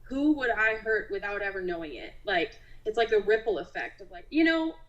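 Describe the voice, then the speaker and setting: A young woman speaks as an interview guest in a casual, reflective style. Her pitch is average for a woman, and her phrasing is informal and conversational, as in everyday talk. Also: sing-song, fairly quick, dull audio. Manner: conversational, frequent use of "like". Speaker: nurse being interviewed.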